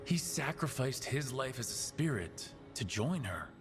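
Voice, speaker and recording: An actor playing a pragmatic, determined fantasy hero in a video game, speaking plainly and with purpose. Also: male voice, mid-range voice, very animated, a little slow. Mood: serious. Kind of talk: dramatic video game dialogue. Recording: music behind the voice.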